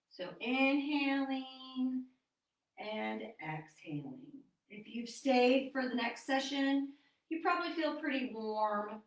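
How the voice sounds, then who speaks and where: A woman fitness instructor leading a seated online exercise class, chatty with fillers, then giving calm breathing cues. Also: high-pitched. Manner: chatty and apologetic, with many fillers. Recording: roomy echo, distant from mic.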